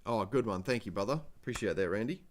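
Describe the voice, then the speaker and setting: A man with a New Zealand accent hosting a casual livestream, talking in a halting, rambling way with many fillers and restarts into a desk microphone. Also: fairly low pitch. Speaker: livestream host.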